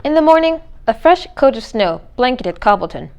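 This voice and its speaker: A woman's voice: on the high side, very animated, moderate pace, a little mellow, smooth, lo-fi sound. A woman reading a children's storybook aloud as narrator. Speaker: read-aloud reader.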